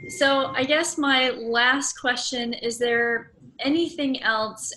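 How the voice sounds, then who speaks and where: A woman with a bright, forward voice conducts a casual interview. She phrases her questions tentatively and affirms answers with enthusiasm, over faint background noise. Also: mid-range voice, low-quality recording.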